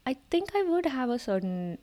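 A woman's voice: high-pitched, big pitch swings, normal speed, warm tone. A woman in her thirties with an Indian accent, co-hosting a podcast in a casual chat, explaining things with fillers and laughs. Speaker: podcast co-host.

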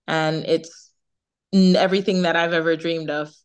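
A young woman with a Jamaican accent and a fairly low voice talks freely about her plans. She laughs often and doubles back on her words as she goes. She comes through a remote video call. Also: fairly even intonation, moderate pace, smooth. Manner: chatty, laughs often, repeats herself. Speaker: singer, interview guest. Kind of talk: casual interview chat.

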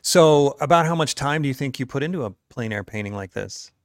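A man from New York hosting an art podcast, speaking in a measured, appreciative way with hesitant restarts. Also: medium pitch, expressive, no echo, clean studio sound. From New York, USA. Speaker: podcast host. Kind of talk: casual interview and show outro.

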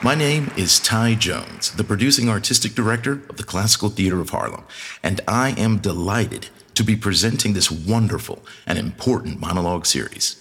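An American man presenting and narrating an audio play from a script, with a husky voice. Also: on the low side, a bit bright. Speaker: presenter and artistic director. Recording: long reverberant hall sound.

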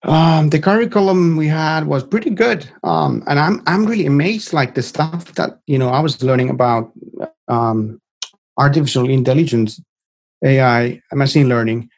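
A man's voice: a little high, normal speed, creaky. Middle-aged man with a Finnish accent. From Finland. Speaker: interview guest, experienced tech professional. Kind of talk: informal interview answers.

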